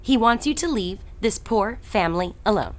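A woman's voice: mid-range voice, expressive, moderate pace, close to an everyday voice. A woman playing a vampire prince's businesslike messenger in an audio drama, speaking in a curt, formal way. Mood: curt, stern.

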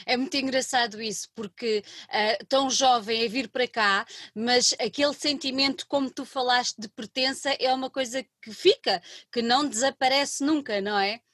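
A woman hosting an interview, asking questions with lively exclamations. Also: a little high, normal speed, few pauses. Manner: warmly enthusiastic, frequent exclamations and laughter. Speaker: interview host. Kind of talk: casual interview conversation.

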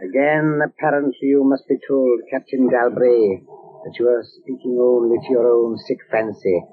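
An actor in a 1940s radio horror drama playing a sinister apparition who torments with cold, mocking politeness. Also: male voice, mid-range voice, fairly even intonation, narrow, tinny audio, close to an everyday voice. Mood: cold taunting. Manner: slow, deliberate, mockingly polite. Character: sinister taunting male apparition, coldly patient tormentor. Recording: thin band-limited old radio recording.

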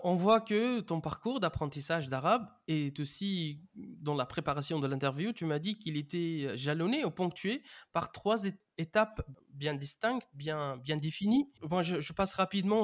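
A man hosting a podcast, reading a measured, prepared introduction before putting questions to his guest. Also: fairly high pitch, lively intonation. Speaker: podcast host. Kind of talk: scripted intro then interview questions.

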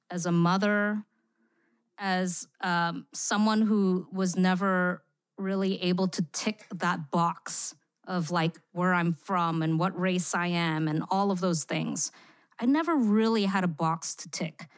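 A woman's voice: fairly low pitch, smooth, no echo. Reflective woman with a dry, close sound. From born in Indonesia, raised in Singapore. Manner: reflective, frequent "you know" fillers. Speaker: guest, immersive experience writer. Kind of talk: podcast interview.

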